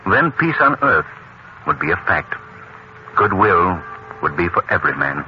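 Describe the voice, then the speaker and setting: An actor playing a confident, kindly gentleman hero, articulate and theatrical in 1940s radio drama style. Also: deep voice, big pitch swings, measured pace. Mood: reflective. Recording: muffled, hissy old radio broadcast.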